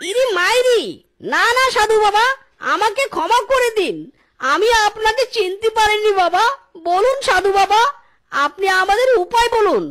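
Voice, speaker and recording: A woman voicing an excitable cartoon fox bandit, blustering yet cowardly, with a squeaky, exclamatory delivery. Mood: anxious, pleading. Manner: exclamatory, repetitive, pleading and blustering. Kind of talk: Bengali animated cartoon acting.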